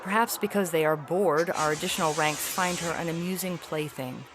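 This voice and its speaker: A woman voicing a haughty, weary feline alien ambassador in a science fiction audio story, speaking in a formal, measured, articulate way. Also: sits low, noisy background, close to an everyday voice. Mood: weary disdain. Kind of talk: dramatic reading of an epistolary science fiction story.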